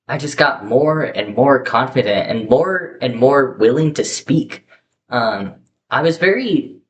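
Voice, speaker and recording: A young man talking candidly to his camera in a casual vlog, rambling with lots of fillers and restarts on a low-quality microphone. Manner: chatty, candid, rambling with many fillers and self-corrections. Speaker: vlogger. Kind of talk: casual personal talk to camera. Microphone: poor camera microphone. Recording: faint background hiss, low-quality mic.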